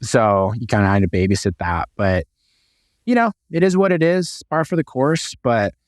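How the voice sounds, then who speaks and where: A man's voice: medium pitch, normal speed, dry recording, clean studio sound. A man co-hosting a real estate podcast, chatting casually and upbeat with lots of fillers and restarts. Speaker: podcast co-host.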